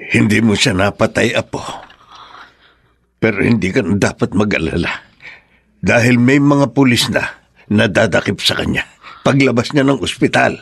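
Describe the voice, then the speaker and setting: An actor playing an indignant, protective old grandfather in a radio drama, husky and emphatic. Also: fairly low pitch, normal speed, long pauses, fairly bright tone, no echo, clean studio sound, full character voice. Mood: grave, protective. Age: older. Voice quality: gravelly, husky. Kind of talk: Tagalog radio drama acting.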